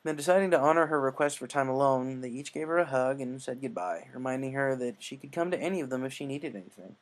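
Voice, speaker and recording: Male story reader, mid-range pitch, scripted narration, measured delivery, occasional stumbles. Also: low-quality recording.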